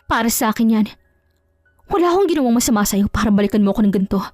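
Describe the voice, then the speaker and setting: A woman's voice: on the high side, expressive, rapid-fire, a little mellow, dry recording. Woman doing a fierce, defiant wronged wife. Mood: furious. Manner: fierce, indignant. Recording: dry, faint music underneath.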